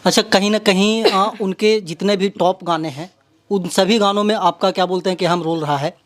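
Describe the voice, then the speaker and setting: A woman with a Bihari accent working as an interviewer, asking long conversational questions in a halting, wordy way. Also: medium pitch.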